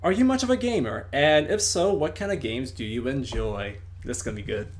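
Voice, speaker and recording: A man's voice: mid-range voice, animated, brisk. A man interviewing a guest over a voice call, speaking in an earnest, motivational way. He likes to sum things up with sayings. Faint noise hangs in the background. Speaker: interviewer, host. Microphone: computer mic on a voice call.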